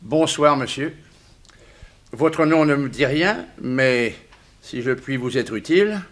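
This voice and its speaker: An actor playing a courteous elderly retired male judge with a husky voice in a 1960s French radio play. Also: medium pitch, animated, takes it slowly. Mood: courteous, puzzled.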